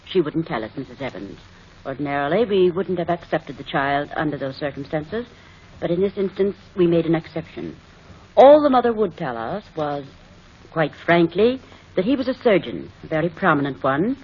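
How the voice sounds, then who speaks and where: An actress playing a proper, sympathetic adoption home matron with formal phrasing in an old-time radio drama. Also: female voice, lowish voice, lively intonation, normal speed, lightly acted. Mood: frank, sympathetic. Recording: muffled, noisy old radio recording.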